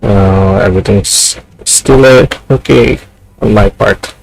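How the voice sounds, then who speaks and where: A man's voice: lowish voice, slow, creaky, poor audio. A man giving casual let's-play commentary while gaming, muttering short reactions as he goes. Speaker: streamer playing a video game.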